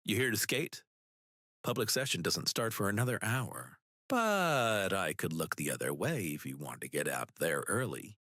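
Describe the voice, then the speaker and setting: A woman's voice: a bass voice, very animated, normal speed, a bit bright, no echo, clean studio sound, voice pushed down, exaggerated voice. A woman voicing a friendly, chatty older male rink manager in an audiobook, with AI voice modification. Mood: genial, joking. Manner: folksy, chatty.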